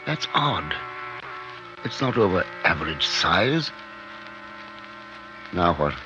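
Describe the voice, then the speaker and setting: An actor in a 1950s radio drama playing an obsessed scientist, speaking in intense, halting bursts, awed and fearful of what he has made. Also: low voice, big pitch swings, music in the background, exaggerated voice. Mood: awed, agitated. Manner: intense, halting, talking to himself in short bursts. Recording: dull 1950s radio recording.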